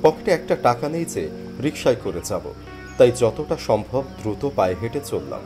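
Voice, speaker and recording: A man playing a weary, penniless job seeker who tells his story in expressive, plaintive dramatic narration. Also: medium pitch, moderate pace, close to an everyday voice. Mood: weary, reflective. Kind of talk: first-person dramatic story narration and character dialogue. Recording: music behind the voice. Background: background music.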